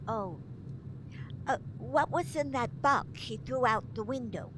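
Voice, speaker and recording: A woman's voice: fairly high pitch, lively intonation, slow, background noise. An actress playing a shy, naive young teenage girl, hesitant and earnest, in old-time radio comedy style. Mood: timid.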